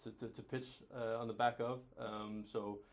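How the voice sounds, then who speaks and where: Danish-born guy in his 30s, casual presenter, kinda hesitant, pretty flat intonation. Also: mid-range voice, moderate pace, gravelly. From Denmark, raised across several countries. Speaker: venture capital COO, guest speaker. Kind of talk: informal presentation to students.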